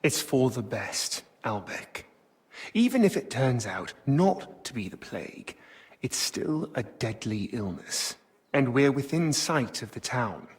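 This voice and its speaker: A man's voice: medium pitch, big pitch swings, takes it slowly. An actor playing a cautious, worried medieval man, serious and cautioning, in a dramatic game cutscene. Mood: grave, persuading.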